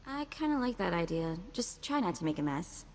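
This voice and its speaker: A woman's voice: on the low side, very animated, fairly quick, slight background noise. A woman voicing a plucky, street-smart young slicer in a video game, wry and breezy, with clipped slangy talk. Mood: bold.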